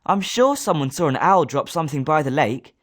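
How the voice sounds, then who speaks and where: A man's voice: on the high side, expressive, fairly quick, fairly bright tone. A man playing a British schoolboy wizard in a video game, speaking scripted lines expressively. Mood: friendly, polite. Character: schoolboy wizard student, polite but sometimes sneering.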